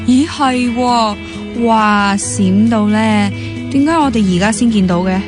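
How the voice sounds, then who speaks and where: A woman's voice: a little high, unhurried, lightly acted. An actress with a Hong Kong Cantonese accent playing an inquisitive, teasing young woman who dances, in a radio drama. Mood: playful, teasing. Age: young adult. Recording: music playing behind the dialogue.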